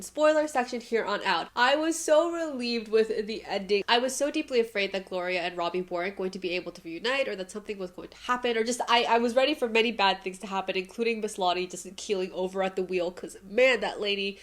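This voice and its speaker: A woman with a stuffy, nasal voice from allergies, chatting casually about a book she just finished, laughing lightly now and then. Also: mid-range voice. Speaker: book vlogger. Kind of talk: casual book review to camera.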